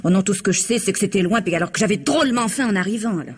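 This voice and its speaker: Husky-voiced naive country maid, played by a woman, flustered and chatty, rambling through radio mystery dialogue. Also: medium pitch, racing, lightly acted. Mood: rambling, earnest. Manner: hesitant, rambling, repeats herself, giggly. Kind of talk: French radio mystery drama acting.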